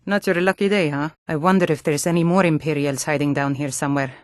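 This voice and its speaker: Woman acting as hardy Nord warrior woman, lowish voice, proud, firm, plain-spoken, earnest, fantasy game dialogue, dry studio sound. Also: steady tone, quick pace, no echo, natural voice. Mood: wry, wary.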